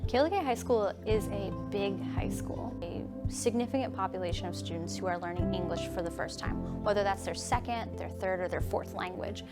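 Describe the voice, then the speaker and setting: An earnest woman teacher talks reflectively in a relaxed, conversational interview. Her pitch is average for a woman. A clear music bed plays underneath her voice. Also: fairly quick, few pauses. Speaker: high school teacher. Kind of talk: documentary interview.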